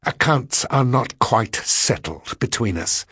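A man's voice: fairly low pitch, sing-song, measured pace, a bit bright, slightly rough, no room sound, clean studio sound, heavily acted. A man voicing a sinister, bitter woman who taunts with cold passion in an audiobook story. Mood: vengeful, cold.

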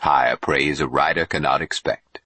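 A man voicing a dry, wry old doctor in an audiobook, terse and deadpan. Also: a bass voice, takes it slowly, gravelly, slightly rough, no echo, exaggerated voice. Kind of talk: audiobook character voice.

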